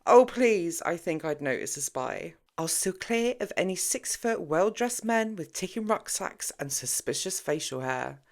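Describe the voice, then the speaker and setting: A woman's voice: lowish voice, expressive, measured pace, hardly any pauses. A woman narrator voicing a defiant, sarcastic young mother in an audiobook, with a mocking, dramatic and emphatic manner. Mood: mocking, dismissive.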